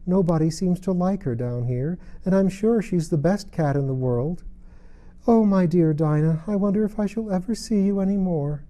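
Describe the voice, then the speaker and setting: A man's voice: high-pitched, moderate pace, warm tone, voice pushed up, close to an everyday voice. A man voicing an earnest, polite, sensitive little girl in a storybook. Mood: melancholy.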